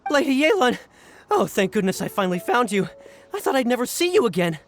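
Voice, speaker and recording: A woman's voice: a little high, big pitch swings, fairly quick, a little hiss or hum. An actress playing an anxious, deferential young woman in an anime video game, breathless and emotive. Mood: relieved, distraught.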